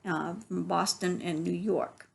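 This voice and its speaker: Slow-talking woman reading a picture book. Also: fairly low pitch, fairly even intonation, gravelly, husky. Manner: instructive, with asides to the listeners. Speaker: library story reader. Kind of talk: read-aloud picture book narration for children.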